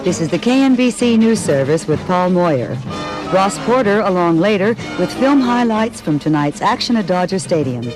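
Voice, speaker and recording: A man's voice: sits high, animated, normal speed, low-quality recording. A man doing a station announcer's news introduction, taped off air in the 1970s. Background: music.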